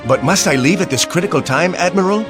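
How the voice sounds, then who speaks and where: An actor playing an earnest, loyal Korean army lieutenant in a video game, speaking formally and dramatically. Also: male voice, high-pitched, fast talker, music in the background, natural voice. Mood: respectful, concerned.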